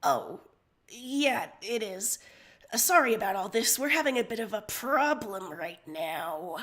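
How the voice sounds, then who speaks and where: A woman voicing a short, chubby, irritable and foul-mouthed man in a fan fiction read aloud, brusque and quick to get loud. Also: mid-range voice, expressive. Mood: brusque, apologetic. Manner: brusque, loud when angered.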